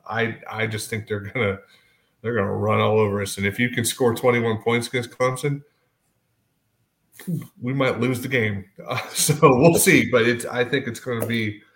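A man's voice: fairly low pitch, gravelly, a bit bright. A man co-hosting a sports podcast, chatting casually in a blunt, bantering way. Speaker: podcast co-host.

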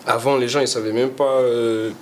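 A man's voice: mid-range voice, steady tone, normal speed, darkish tone, slight background noise. A young man, a rapper guest, chatting casually in slangy talk, often trailing off and restarting his sentences. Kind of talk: casual chat.

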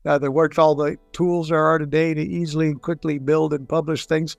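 Older guy, gravelly voice, kinda high, rambling stories with lots of uhs, dry sound, faint music. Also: level tone, fairly quick, no echo, lo-fi sound. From Texas, grew up in Puerto Rico. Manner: chatty storyteller, lots of fillers. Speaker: guest, business founder. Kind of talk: casual interview.

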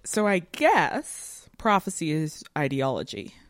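A woman with a notably low voice co-hosts a discussion podcast in a very dry recording. She speaks conversationally but analytically, leaning on key words and reflecting as she goes in academic turns of phrase. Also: huge pitch swings, slow, dry recording. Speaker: podcast co-host.

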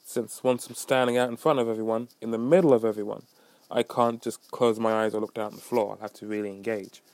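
A man's voice: fairly low pitch, steady tone, quick pace, darkish tone, no echo. A young man hosting his own podcast, rambling in a casual, self-deprecating way with wry asides. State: slight residual cough. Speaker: podcast host. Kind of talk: casual solo monologue.

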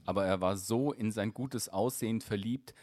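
A man calmly narrating a fairy tale in a measured storytelling voice. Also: lowish voice, no room sound, clean studio sound.

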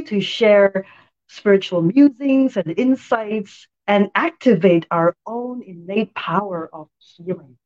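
A woman's voice: mid-range voice, darkish tone, muffled recording. A woman from Malaysia, hosting a spiritual talk show interview in an enthusiastic, effusive way. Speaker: podcast host.

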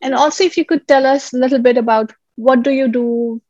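A woman's voice: high-pitched, steady tone, a little fast, a little mellow, lo-fi sound. A woman co-hosting a podcast in a mostly scripted presenting style. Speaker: podcast co-host.

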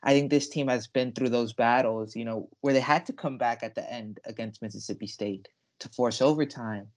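A man's voice: mid-range voice, moderate pace. A young man co-hosting a sports podcast, talking casually with emphatic, enthusiastic delivery.

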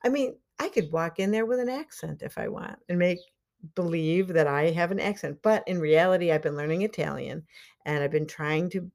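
A woman's voice: fairly low pitch, a little mellow. A middle-aged woman hosting a solo podcast, talking casually and encouragingly to her listeners and laughing often. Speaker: podcast host and life coach.

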